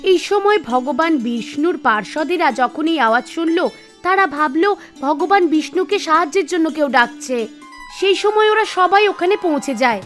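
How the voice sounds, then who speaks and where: A woman reading scripted narration for an animated devotional tale, with music behind her. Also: high-pitched, moderate pace. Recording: music bed under the voice. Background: background music.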